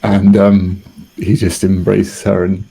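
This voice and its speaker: A British man improvising a story as a player in a tabletop roleplaying show, hesitant and halting. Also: low-pitched, monotone, gravelly, mellow, breathy edge, rough recording.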